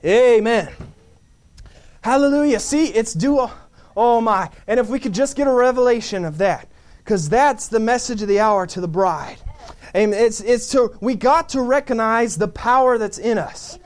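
Fervent male preacher, high-pitched, amen-peppered delivery, rising to shouts. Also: moderate pace. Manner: fervent, punctuates with amen and hallelujah; builds to shouting. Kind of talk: sermon.

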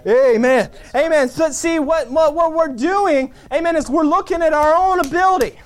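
A man preaching a fervent sermon, peppering his lines with amen and hallelujah and building to shouts. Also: very high voice, moderate pace.

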